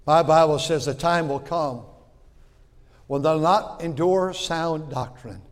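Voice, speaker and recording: A man in his sixties preaching a sermon in a folksy way, repeating words and swinging from loud to quiet. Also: fairly high pitch, slow pace, in a large hall. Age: 60s. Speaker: pastor.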